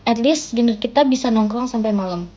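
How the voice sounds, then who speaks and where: A woman's voice: fairly high pitch, a little fast, dark tone, a little hiss or hum. A young woman, chatting casually and teasing on a livestream. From Indonesia. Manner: playful, teasing, self-mocking banter. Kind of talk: casual chat. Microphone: phone mic. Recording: dull lo-fi phone stream audio.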